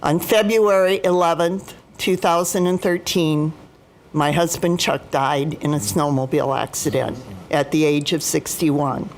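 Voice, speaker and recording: An American woman in her seventies giving prepared personal testimony as a speaker, in a formal, deliberate and emphatic manner. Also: low-pitched, fairly even intonation, a little hiss or hum. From United States, Midwest. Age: 70s. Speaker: union retiree chapter president, guest speaker.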